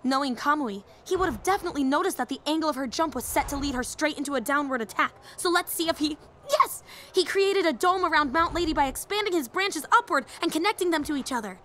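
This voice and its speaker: A woman's voice: high voice, animated, fast talker, hardly any pauses, sharp tone, a little hiss or hum. A woman voicing a young, nerdy female hero fan and teacher in an audio roleplay, eager, rambling and easily flustered. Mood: fascinated, analytical, then excited.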